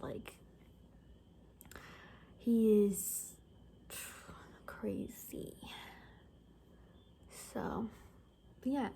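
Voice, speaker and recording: Woman acting a gossipy compound survivor, soft ASMR roleplay, mellow breathy half-whisper, casual rambling, faint background noise. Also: mid-range voice. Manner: rambling, casual, gossipy, full of filler words. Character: chatty young woman in zombie apocalypse compound, gossipy friend.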